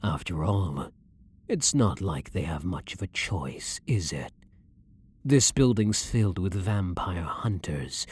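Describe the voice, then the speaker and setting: A man playing a blunt, brooding vampire hunter, himself a vampire, in a measured, dominant ASMR roleplay with a breathy voice. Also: sits low, lively intonation, a little mellow, exaggerated voice. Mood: quietly menacing. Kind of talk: ASMR roleplay, dramatic monologue.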